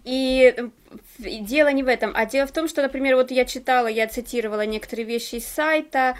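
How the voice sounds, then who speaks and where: A woman's voice: sits high, fast. A woman who teaches, co-hosting an online show, talking casually and hesitantly with fillers over a call microphone. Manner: hesitant, fillers, firm when interrupted. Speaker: co-host and language teacher. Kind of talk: casual panel discussion.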